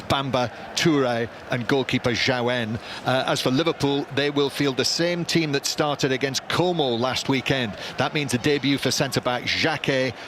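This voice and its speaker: Man, sports reporter, brisk factual lineups, noisy background. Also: mid-range voice. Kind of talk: team news report.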